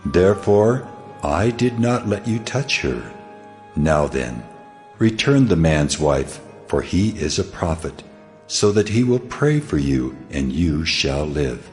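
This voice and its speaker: A man's voice: very deep voice, expressive, slow pace, a little mellow, faint music behind, heavily acted. A man playing God, stern, weighty and authoritative, in a dramatized scripture reading. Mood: solemn warning. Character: God, solemn commanding male deity speaking in a dream.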